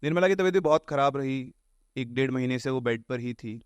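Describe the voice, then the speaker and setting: A man's voice: mid-range voice, fairly quick, clear, resonant tone, no echo. A man telling ghost tales casually in an explanatory way, mixing in English words. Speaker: storyteller on a horror podcast.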